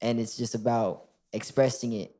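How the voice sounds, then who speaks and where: Casual young male rapper, mid-range voice, relaxed and rambling. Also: medium pitch, moderate pace. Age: 20s. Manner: relaxed, lots of "like" and restarts. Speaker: rapper guest. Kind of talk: casual interview chat.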